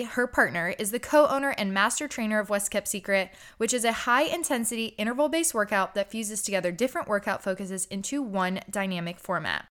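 A woman's voice: mid-range voice, fairly bright tone. Upbeat woman podcast host. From Charlotte, North Carolina. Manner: enthusiastic, gushing. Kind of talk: casual interview chat.

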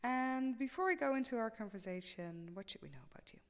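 A woman's voice: fairly high pitch, expressive, fairly quick. A woman hosting a conversational podcast interview as a student life coach. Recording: reverberant hall-like room sound.

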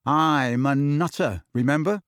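A man's voice: on the high side, unhurried, fairly bright tone, no echo, studio quality. A man voicing a grumpy, reluctant teenage boy who talks in a guarded way.

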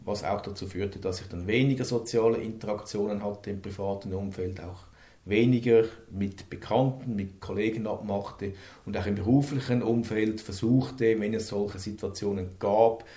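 A man's voice: on the low side, mellow, echoey room. Stuttering man in his fifties. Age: 50s. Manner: stutters, repeats words, reflective and earnest. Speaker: interview guest. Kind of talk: personal interview.

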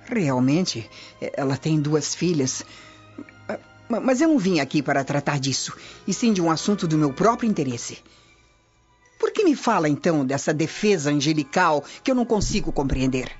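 Soft music sits behind a Roman noblewoman in a dull-sounding radio drama. She speaks formally and urgently, an imperious schemer with an anxious, insistent edge. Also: low voice, animated, moderate pace. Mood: uneasy, insistent.

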